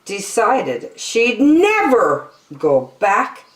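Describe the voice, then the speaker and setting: A woman's voice: fairly high pitch, huge pitch swings, very slow, echoey room, low-quality recording. A woman storyteller reading a children's picture book expressively.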